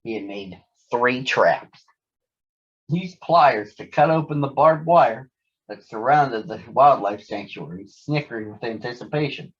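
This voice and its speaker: A man's voice: mid-range voice, animated, a little slow, lo-fi sound. A man narrating a children's story from a script as a storybook reader.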